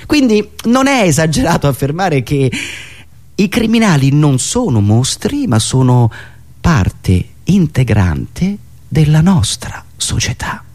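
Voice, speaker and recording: A man performing a theatrical satirical monologue, ironic, with dramatic pauses and emphatic swings from hushed asides to raised delivery. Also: a little high, big pitch swings. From Italy. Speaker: writer and performer of a satirical radio monologue show.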